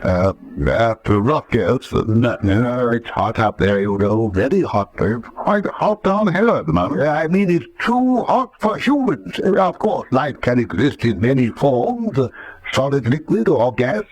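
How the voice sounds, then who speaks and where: An actor playing a fussy, eccentric doctor in a radio drama, hesitant, muttering and repeating himself. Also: male voice, mid-range voice, very animated, unhurried. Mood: dithering, chatty. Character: fussy, dithering, eccentric male doctor, easily alarmed.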